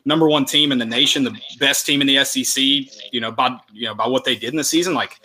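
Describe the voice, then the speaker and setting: A man co-hosting a casual chat, lively and joking. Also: medium pitch, fast, poor audio. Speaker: co-host.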